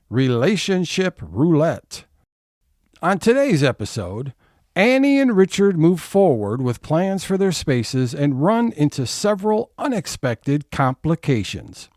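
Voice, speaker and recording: A man narrating a scripted audio comedy as a deliberate, dramatic episode announcer. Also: a little high, darkish tone, no echo, clean studio sound. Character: wry storytelling announcer of a domestic comedy.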